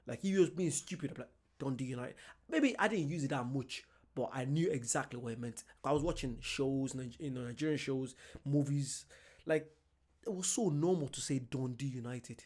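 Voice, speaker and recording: A young man, doing an excited, chatty reaction vlog and laughing often. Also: mid-range voice, moderate pace. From Ireland. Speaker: reaction vlogger. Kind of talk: casual reaction commentary.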